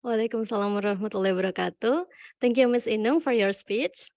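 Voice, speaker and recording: A woman's voice: on the high side, quick pace, darkish tone, no echo. A young woman acting as emcee of a webinar over a video call, formal and scripted. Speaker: master of ceremonies. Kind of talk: webinar hosting.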